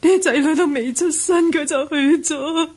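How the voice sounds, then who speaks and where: A woman's voice: sits high, fairly even intonation, unhurried, darkish tone. A woman acting a middle-aged widowed mother in a Cantonese radio drama, plaintive and fond, with a Hong Kong accent. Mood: grieving.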